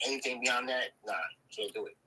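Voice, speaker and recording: A man's voice: medium pitch, steady tone, fairly quick, bright, forceful tone, slightly rough, poor audio. A man in his forties on a live talk panel, emphatic and repeating his points. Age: 40s. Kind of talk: casual chat on a live talk show.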